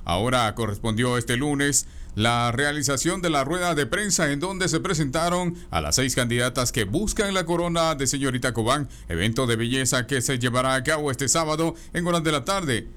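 A man reading a news report in an even newsreader tone. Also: mid-range voice, moderate pace, hardly any pauses.

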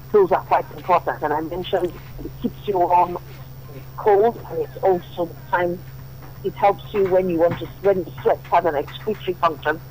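A woman, a dermatologist guest giving measured, explanatory answers on a remote line. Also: fairly low pitch, a little mellow, a little hiss or hum, poor audio. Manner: measured, explanatory, uses you know often.

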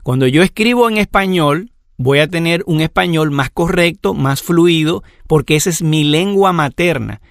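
Gravelly-voiced man teaching Bible lessons. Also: a little high, dry recording, clean studio sound. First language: Spanish. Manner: measured, explanatory, lists examples. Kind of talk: lecture on scripture.

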